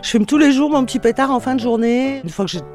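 A woman's voice: sits high, fast, over music. A woman in her fifties speaking in a casual interview, easygoing and matter-of-fact. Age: 50s.

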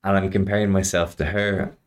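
A man hosts a casual podcast chat in a very low voice. He rambles through stories, pokes fun at himself, and fills his pauses with ums and likes. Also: little pitch movement, slight background noise. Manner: rambling, self-deprecating, many fillers. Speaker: podcast host.

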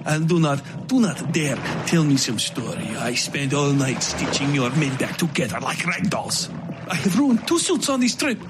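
Man voicing stern doctor, audio fiction, exasperated, formal and clipped, indignant, clear background noise. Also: a little high, sing-song, normal speed. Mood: exasperated, scolding.

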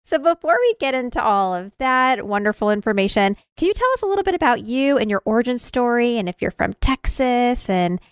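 A woman's voice: a little high, sing-song, brisk, hardly any pauses, smooth, no echo, studio quality. A woman hosting a podcast in an informal, chatty and self-deprecating way. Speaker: podcast host. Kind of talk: chatty podcast intro and interview.

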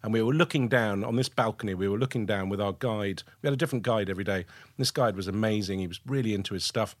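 A man's voice: fairly low pitch, fast talker, no echo, clean studio sound. A man who grew up in Lebanon, hosting a casual chat, talking in an enthusiastic, rambling way with many restarts. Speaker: podcast host.

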